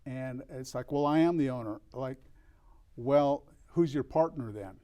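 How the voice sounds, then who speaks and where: An older man from El Paso talks as a guest in an interview. He speaks in a reflective, earnest way, restarting and pausing often as he works through his thoughts. His voice sits at an ordinary middle pitch. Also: dark tone. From El Paso, Texas. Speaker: guest, record store owner. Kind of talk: candid interview conversation.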